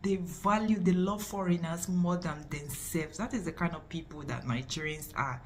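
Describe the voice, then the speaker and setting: A woman with a fairly low voice joins the casual vlog conversation as co-host. She backs up her partner's points emphatically and then explains them at length in her own words. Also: steady tone, moderate pace, a little hiss or hum.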